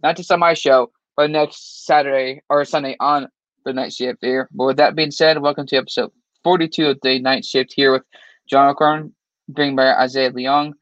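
A man's voice: medium pitch, steady tone, normal speed, a bit bright, no echo. A man co-hosting a sports podcast, talking emphatically and teasing his co-host in a casual sign-off. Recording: dry, dull lo-fi mic.

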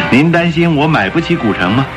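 An actor playing a suave, self-assured gentleman thief in a radio drama, speaking smoothly and politely. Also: a little high, big pitch swings, moderate pace, over music. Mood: breezy, confident. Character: suave, confident gentleman thief posing as rich buyer.

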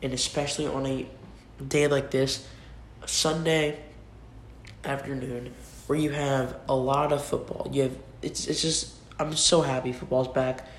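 A man's voice: medium pitch, level tone, a little slow. A young man with a cold hosting a casual solo sports podcast, rambling and restarting sentences as he makes his picks. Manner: rambling, restarts often, informal. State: has a cold. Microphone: computer mic. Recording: echoey room with faint noise.

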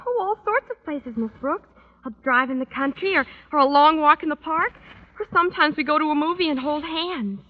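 Actress doing a girlish teen schoolgirl, really high voice, gushy and a bit superior, dull old radio comedy sound. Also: fairly quick, lightly acted. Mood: smug, gushing. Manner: girlish, gushing, coyly superior. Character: prim, self-assured teenage high school girl. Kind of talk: 1940s-50s radio sitcom acting. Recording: muffled old radio recording.

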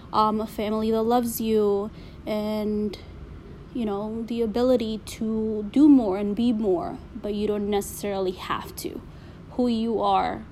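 A woman's voice: mid-range voice, darkish tone, slight background noise, rough recording. A woman with an accent, talking casually and reflectively to listeners, full of fillers and frequent swearing. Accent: non-native accent.

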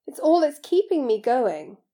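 Lady reading as anxious young schoolboy, kinda high soft-toned voice, earnest and hesitant, storybook. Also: sing-song, unhurried, full character voice. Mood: dismayed.

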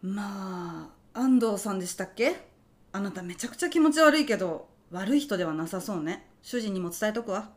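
A woman's voice: medium pitch, lively intonation, normal speed, close to an everyday voice. A woman acting as a baffled, blunt housewife in a comic radio drama, questioning with open disbelief. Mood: softened, resigned.

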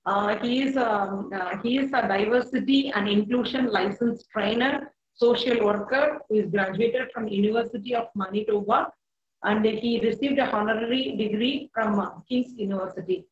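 A woman's voice: on the high side, steady tone, moderate pace. A woman co-hosting an online talk show, formal and hesitant with many uhs. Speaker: co-host. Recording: echoey, dull lo-fi sound.

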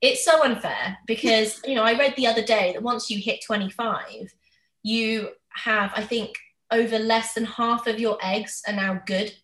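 A woman's voice: on the high side, steady tone, normal speed, fairly bright tone, slight background noise. A woman, talking candidly and chattily in a casual conversation. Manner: chatty, candid, acts out others' questions. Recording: roomy, echoey sound.

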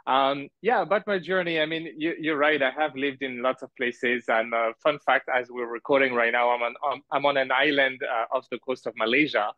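A man's voice: medium pitch, fairly even intonation, no room sound. Man from France, rambling, dull dry audio. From grew up in France. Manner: halting, rambling with asides. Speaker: podcast guest, business owner. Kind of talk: casual interview storytelling. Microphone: remote call.

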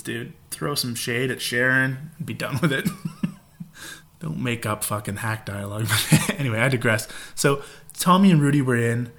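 A man's voice: mid-range voice, a bit bright. A man hosting a podcast in a casual monologue, irreverent and profane, with laughing asides. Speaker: podcast host.

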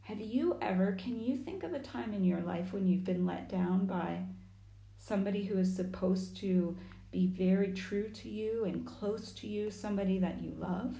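A woman with a slightly gruff, growly voice reading a chapter book aloud, pausing to chat with young listeners. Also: medium pitch. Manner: warm asides to young listeners. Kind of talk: storybook reading with chatty asides. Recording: echoey room.

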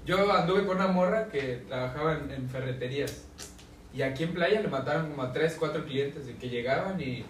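A man's voice: fairly high pitch, fairly quick. Slangy Mexican man, roomy distant sound. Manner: slangy, swearing, storytelling. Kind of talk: casual chat. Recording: roomy, from off mic, faint noise.

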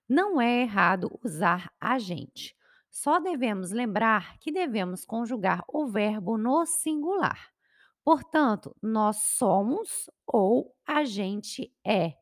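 A Brazilian woman with a Brazilian Portuguese accent teaching grammar, carefully enunciating each conjugation. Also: a little high. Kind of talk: slow, clear grammar lesson.

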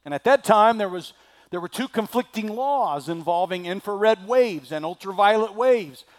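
A male preacher with a slightly scratchy throat, delivering a sermon that swings from loud declaiming to quiet asides. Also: high voice, expressive, normal speed.

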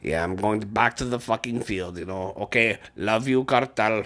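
A man's voice: on the low side, normal speed, a bit bright. A man co-hosting a podcast, rambling casually through the sign-off with lots of fillers and restarts. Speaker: podcast co-host.

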